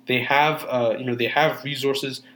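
Casting: young man, earnest and urging, forceful tone, plenty of fillers. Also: medium pitch, steady tone, moderate pace. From Canada. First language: Urdu. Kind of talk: earnest monologue.

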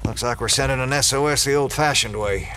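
A man's voice: mid-range voice, fairly quick, sharp tone, slight background noise, lightly acted. Man acting a determined small-town sheriff. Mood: tense, urgent. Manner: earnest, practical. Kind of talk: audio drama acting.

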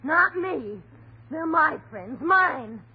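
An American actress playing a drunken, taunting, spiteful young wife in a slangy, theatrical 1930s radio drama style. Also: female voice, high-pitched, animated, very slow, crowd noise, exaggerated voice. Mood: petulant, outraged. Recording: scratchy, tinny 1930s radio broadcast.

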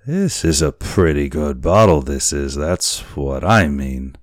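Man voicing a very deep, blustering drunken sailor. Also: lively intonation, unhurried, dry recording, clean studio sound. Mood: drunken, defiant. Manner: blustering, colloquial, defiant. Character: brash drunken whaler boatswain, suspicious and threatening sailor.

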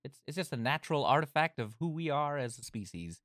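A man's voice: a little high, big pitch swings, a bit bright, dry recording, studio quality. A man co-hosting a podcast in casual chat, dropping in short, wry remarks. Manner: quick interjections, wry and joking. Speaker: podcast co-host.

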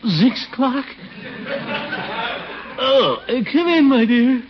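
A man's voice: extremely high pitch, very animated, slow pace, full character voice. A muffled, noisy old radio comedy recording. a pompous middle-aged uncle who takes his time, hemming and exclaiming, his voice leaping up and down with bashful chuckles. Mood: groggy, reluctant. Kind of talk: 1940s radio situation comedy acting.

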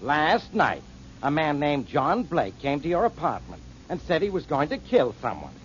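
A gruff, impatient police inspector. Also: male voice, a little high, very animated, normal speed. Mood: irritated. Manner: brusque and dismissive. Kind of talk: 1940s radio acting. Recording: muffled old radio broadcast, faint hiss.

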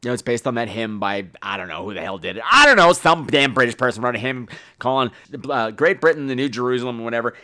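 A man hosting a podcast in a casual, chatty monologue, with a campy, theatrical manner and drawn-out emphasis. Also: mid-range voice, lively intonation, bright, forceful tone. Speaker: podcast host.

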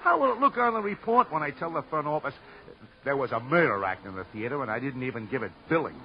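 Fussy, effusive theater manager, small dapper type, wildly varied pitch, muffled vintage radio drama. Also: male voice, sing-song, fast, slight background noise. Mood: effusive, agitated. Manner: effusive, repeats himself, theatrical. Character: dapper, chatty, excitable little theater house manager. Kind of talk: old-time radio crime drama acting. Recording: dull old radio recording, faint music.

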